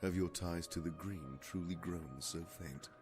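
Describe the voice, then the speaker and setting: A man's voice: low-pitched, a little slow, darkish tone, soft background music. An actor playing an ageless, cursed immortal man, wry and world-weary, in a dramatic audio drama. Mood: wry, grim.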